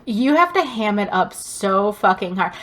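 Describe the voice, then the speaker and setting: A woman co-hosting a podcast in casual chat, telling things in an animated, emphatic way with plenty of swearing. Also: medium pitch, unhurried. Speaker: podcast co-host. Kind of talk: casual pop-culture chat.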